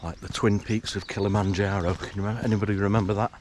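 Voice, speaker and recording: A man narrating a morning countryside walk in a relaxed, hesitant way, full of fillers, his voice a little croaky from just waking up. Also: on the low side, rapid-fire, noisy background. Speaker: hiking vlogger. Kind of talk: casual walking commentary. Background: rural outdoor sounds, animals.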